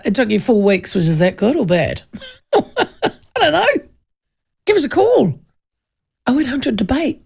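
A woman's voice: mid-range voice, creaky, a little mellow. A woman with a New Zealand accent hosting a talkback radio show, chatting casually, reading listener texts aloud, laughing often and poking fun at herself. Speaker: talk radio host. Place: radio studio. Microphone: studio microphone.

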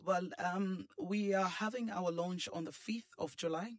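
A man's voice: high-pitched, measured pace, no room sound, studio quality. A man representing a church choir, speaking earnestly as a radio guest with frequent hesitations. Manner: earnest, hesitant with many ums, persuasive. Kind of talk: studio interview guest. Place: radio studio.

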